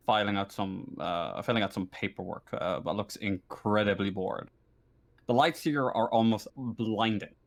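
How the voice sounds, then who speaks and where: A man improvising scene narration as a game master, conversational and halting, often correcting himself. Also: lowish voice.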